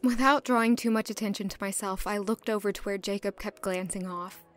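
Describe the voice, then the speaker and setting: A woman's voice: medium pitch, steady tone, a little fast, natural voice. A woman playing a young, anxious, curious castle servant who narrates her own story in an audio drama. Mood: reflective. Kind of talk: first-person audio drama narration and dialogue.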